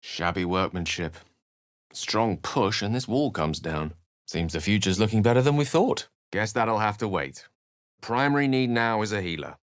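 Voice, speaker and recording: A male actor plays a learned wizard from a fantasy video game. He sits on the low side in pitch and talks with literary polish. His temper is wry, romantic and dramatic, and every phrase is carefully articulated for the stage. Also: lively intonation, moderate pace, clean tone, natural voice. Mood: wry, musing. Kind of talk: video game character voice acting.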